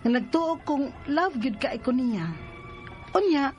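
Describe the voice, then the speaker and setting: A high, plaintive female voice plays a betrayed partner and mother in a radio drama. She is emotional and anguished, with music running underneath. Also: expressive, slow pace, darkish tone. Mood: troubled. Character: anguished betrayed live-in partner, jealous-hearted young mother. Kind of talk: Filipino radio drama acting.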